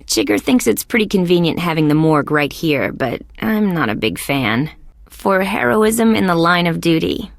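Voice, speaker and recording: A woman playing a wry, skeptical young female police detective in a video game, with a deadpan, sardonic delivery. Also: lowish voice, normal speed, gravelly, dry recording, clean studio sound. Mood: dry, sardonic. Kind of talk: scripted game character lines.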